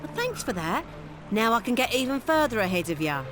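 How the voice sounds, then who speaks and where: Cheeky young female treasure hunter, English accent, animated game delivery, music underneath. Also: fairly high pitch, a little fast. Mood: smug teasing. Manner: slangy, brash, teasing. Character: cocky, teasing young female treasure-hunting thief. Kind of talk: video game cutscene acting.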